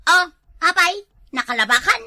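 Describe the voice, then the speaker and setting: A woman's voice: very high-pitched, animated, moderate pace, bright, forceful tone, no room sound, full character voice. A woman playing a chatty, concerned village housewife in a comedy drama, with a Filipino accent. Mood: gossipy.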